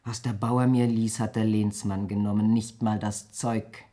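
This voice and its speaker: A woman's voice: extremely low pitch, level tone, unhurried, dark tone. An actress playing a frail, aggrieved old peasant mother in a radio play, lamenting emphatically and fiercely loyal to her son. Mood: bitter lament. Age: older. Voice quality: husky, breathy. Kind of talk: German radio play acting.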